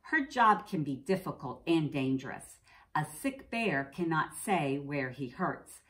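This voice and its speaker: Lively, low-pitched American woman reading to children. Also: measured pace. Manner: simple explanations, addressing children. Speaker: preschool teacher. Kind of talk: reading a picture book aloud to young children, with explanatory asides. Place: indoors, classroom.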